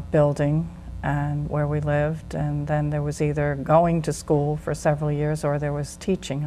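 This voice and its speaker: A middle-aged American woman speaks in a low, level voice, recounting her past plainly with occasional fillers. A faint hum runs underneath. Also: mellow, poor audio. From United States. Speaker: guest, former nun. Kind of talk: interview testimony.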